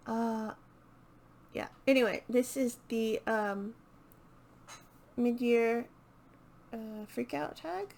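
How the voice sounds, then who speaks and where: A woman's voice: fairly high pitch, extremely slow, creaky, pauses often, a little mellow. A woman chatting casually and unscripted as the host of a video channel, hesitant and thinking aloud as she goes. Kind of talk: casual unscripted book chat to camera.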